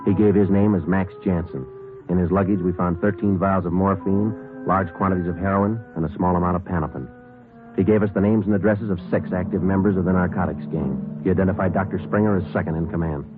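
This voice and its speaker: An actor plays a stern police detective in a 1950s radio procedural. His low voice moves briskly in clipped, deadpan phrases, with tinny broadcast sound and loud music. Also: natural voice. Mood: matter-of-fact. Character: stern, laconic male police detective and narrator. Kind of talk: 1950s radio acting and narration.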